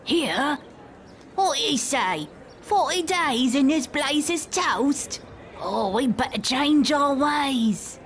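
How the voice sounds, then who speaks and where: A naive storybook townsperson, startled and easily convinced. The voice is pitched high and takes its time. The questions come out folksy and a bit dim. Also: animated, slow pace, fairly bright tone, full character voice. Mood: startled, worried. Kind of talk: children's storybook character reading.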